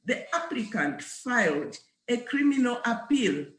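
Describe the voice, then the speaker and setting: A woman's voice: fairly high pitch, big pitch swings, unhurried. A woman reading a court ruling aloud formally as a judge. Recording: echoey room.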